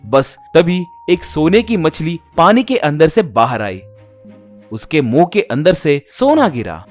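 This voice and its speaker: Male narrator for a children's-style fable: slow, expressive, swooping intonation over light music. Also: fairly high pitch. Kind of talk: scripted storytelling narration for a cartoon moral tale.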